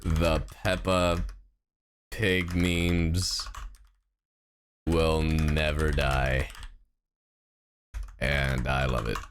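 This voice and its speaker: A man live streaming a game, chatting casually in a slangy, sweary, expressive and excitable way. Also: rumbling low, extremely slow, pauses often, slight background noise. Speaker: livestreamer.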